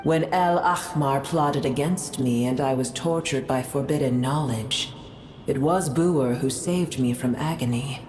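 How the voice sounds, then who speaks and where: A woman's voice: very deep voice, unhurried, a little mellow. Casting: ancient female dragon sovereign, bitter, imperious, formal archaic diction, echoing game sound. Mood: solemn, grand. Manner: haughty, grandiose, formal archaic speech. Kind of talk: video game voice acting. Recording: echoing, with game music behind.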